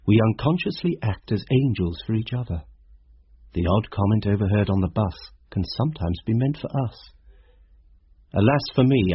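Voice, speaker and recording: A man's voice: on the low side, breathy edge, muffled recording. An actor playing a regretful, contemplative narrator in a radio drama, a British man looking back in literary first person. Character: reflective, regretful male narrator recalling events.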